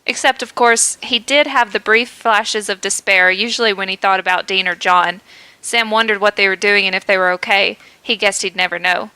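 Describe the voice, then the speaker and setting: A woman's voice: medium pitch, steady tone, normal speed, pushed and bright, smooth, no room sound, low-quality recording. A woman hosting a podcast, reading a comic story aloud in a playful way and laughing at the text, into a podcast microphone. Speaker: podcast host.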